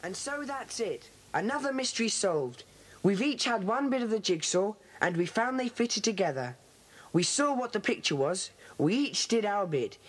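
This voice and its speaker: An earnest British schoolboy who leads his club. The voice is husky and swings widely in pitch. He is decisive and emphatic, in the manner of a children's audio drama. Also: sing-song, normal speed. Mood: satisfied.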